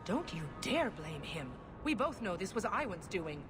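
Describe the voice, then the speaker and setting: A woman's voice: medium pitch, very animated, moderate pace, music in the background, natural voice. An actress playing a blunt, exasperated dwarf woman in a video game. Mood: defiant.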